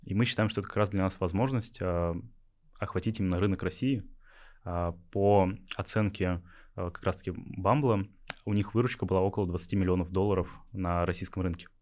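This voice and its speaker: Young man, very deep voice, interview guest, hesitant, lots of fillers. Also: steady tone, fairly quick, darkish tone. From Yakutia, Russia. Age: young adult. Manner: hesitant, many filler sounds, laughs easily. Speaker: startup founder, interview guest. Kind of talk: interview answers.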